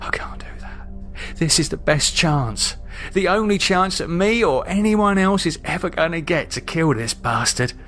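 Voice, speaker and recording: Guy narrator doing a terrified vampire hunter muttering to himself, urgent, higher pitched, horror audiobook. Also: lively intonation, unhurried, a bit bright, soft background music. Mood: fearful, desperate. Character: frightened man steeling himself to kill a vampire.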